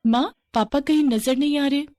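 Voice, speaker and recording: A woman's voice: high voice, normal speed, darkish tone, no room sound, voice pushed up, close to an everyday voice. A woman voicing a sweet, mistreated little girl in a story, childlike and plaintive. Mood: hurt.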